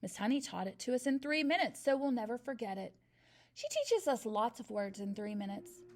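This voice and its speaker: A woman's voice: a little high, sing-song, a little fast, a little mellow, a little hiss or hum. A woman voicing a brave, eager little schoolboy who pipes up. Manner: piping, eager.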